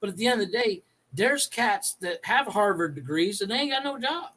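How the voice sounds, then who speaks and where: A man co-hosting a podcast in a relaxed, slangy chat style. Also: high-pitched, fairly quick. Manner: laid-back, uses slang. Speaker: podcast co-host.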